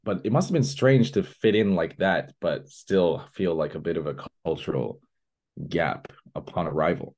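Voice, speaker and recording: A young man hosting a casual interview chat, relaxed and thoughtful, sharing his own stories. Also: low-pitched, moderate pace. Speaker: podcast host.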